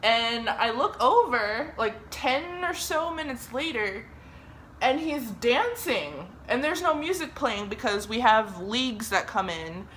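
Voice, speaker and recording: A woman's voice: mid-range voice, a little hiss or hum. A young woman from Germany telling a story in a casual, chatty way, swearing often. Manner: chatty, swears often, lively re-enacted quotes.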